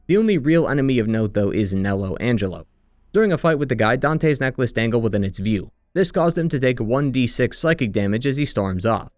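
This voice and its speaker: Male narrator for a long-form gaming video essay. Mid-pitched voice, scripted analytical read, very dry recording. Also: animated, a little fast, no echo. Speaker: narrator of a video game retrospective.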